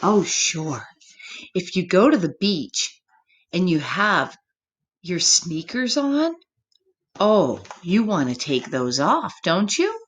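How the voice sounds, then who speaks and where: Slow, lively woman reading to children. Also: fairly low pitch. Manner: gentle, expressive, addresses children with questions. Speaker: children's story reader, teacher. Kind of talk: read-aloud storytime for young children, with chatty asides. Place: room at home.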